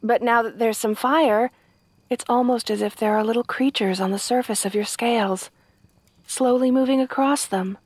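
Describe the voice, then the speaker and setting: A woman acts out a kind young fantasy princess with a fairly high voice, recorded close and dry. She is polite and earnest, a little naive, and hesitates as she explains herself directly to the listener. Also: fairly even intonation, normal speed, darkish tone, no room sound, lightly acted. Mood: gentle, admiring. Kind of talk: fantasy audio roleplay addressed to the listener.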